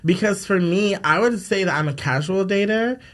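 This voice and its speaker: High-voiced young man chatting playfully. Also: moderate pace. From Japan. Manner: animated, slangy, playful storytelling. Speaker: podcast host. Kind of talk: casual chat.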